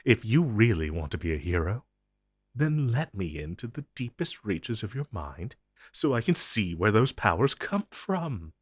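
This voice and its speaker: Man acting as a slow, husky, menacing ancient entity. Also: on the low side, big pitch swings, no echo, studio quality, heavily acted. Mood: forceful coaxing. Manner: theatrical, possessive, condescending, deliberate. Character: ancient possessive telepathic hive-mind entity, menacing protector. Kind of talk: intense audio roleplay monologue to the listener.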